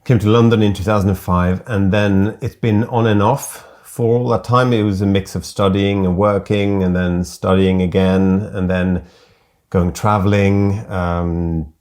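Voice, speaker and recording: Low-voiced calm man, raised in France. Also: unhurried. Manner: calm, thoughtful, slightly hesitant. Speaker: guest. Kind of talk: casual interview chat. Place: small home room.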